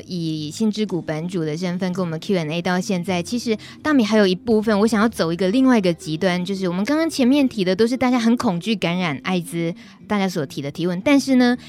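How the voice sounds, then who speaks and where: A woman's voice: medium pitch, a little mellow. A woman with a Taiwanese Mandarin accent, hosting a live talk show and explaining things chattily, straight to her listeners. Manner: chatty, addresses listeners directly. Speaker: radio host.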